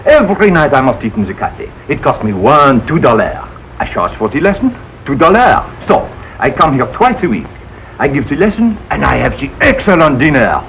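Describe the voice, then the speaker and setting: An actor playing a suave, effusive French tutor with a French accent in a 1940s radio sitcom, in broken English sprinkled with French. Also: male voice, medium pitch, sing-song, normal speed, a bit bright. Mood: eager, persuasive. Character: suave, eager French language tutor, flattering and excitable. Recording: dull, noisy old radio broadcast.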